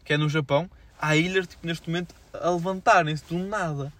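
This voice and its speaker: A young man with a European Portuguese accent and a fairly high voice. He talks very fast in casual, unscripted podcast chat, leaning on slang and filler words. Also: steady tone, fairly bright tone. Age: young adult. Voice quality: slangy, filler-heavy speech. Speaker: podcast co-host.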